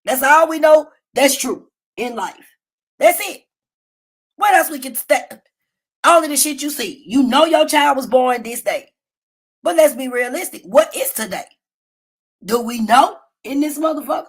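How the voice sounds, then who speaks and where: High-voiced woman livestream host, brash, cussing, storytelling. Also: measured pace, long pauses. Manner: loud, profane, animated storytelling. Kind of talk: casual livestream commentary. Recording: low-quality phone stream.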